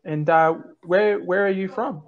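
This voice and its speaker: A young man guides a lesson patiently, his voice a touch high. The sound is dull and lo-fi, as on a video call through earphones. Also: unhurried. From Australia. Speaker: English tutor. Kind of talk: online language lesson. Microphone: earphone headset on video call.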